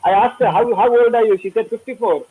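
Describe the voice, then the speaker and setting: A man runs a panel discussion in a high, husky voice. He gives advice and often repeats his phrases. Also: fast, narrow, tinny audio. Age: 60s. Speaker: host.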